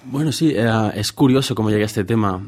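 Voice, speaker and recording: A man from Seville in his thirties, a guest researcher answering interview questions thoughtfully, pausing and restarting as he thinks. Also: on the low side, darkish tone. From Spain, Seville. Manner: hesitant, many fillers and restarts while thinking aloud.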